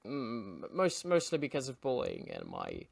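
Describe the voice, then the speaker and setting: A man whose first language is Norwegian, hosting an interview in a thoughtful, slightly halting way. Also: medium pitch, huge pitch swings, creaky, slightly rough, no room sound, studio quality. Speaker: host. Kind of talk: casual conversation.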